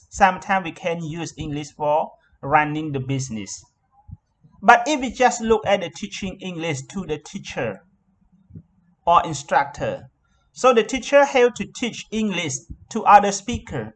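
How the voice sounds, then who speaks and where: A man from Cambodia with a Khmer accent, lecturing an online class over a video call and explaining with many "okay" and "so" fillers. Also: high voice.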